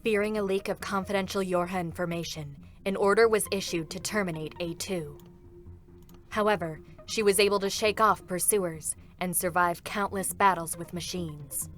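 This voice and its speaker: An actress playing a cold, dutiful executioner android, formal and controlled, in a dramatic reading of a game character. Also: female voice, on the low side, steady tone, normal speed, a bit bright, natural voice. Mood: cool, detached. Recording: music behind the voice. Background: music.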